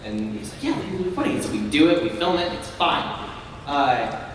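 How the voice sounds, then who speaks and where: A man's voice: a little high, expressive, a little fast. A man on a convention panel, telling rambling behind-the-scenes stories full of fillers, recorded from far off in an echoey, noisy hall. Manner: rambling, filler-heavy storytelling. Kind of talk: casual anecdote telling. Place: large convention panel room.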